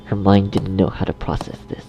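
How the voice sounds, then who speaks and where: A man reading scripted dark fiction as a narrator in a measured storytelling manner. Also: sits low, monotone, creaky, low-quality recording.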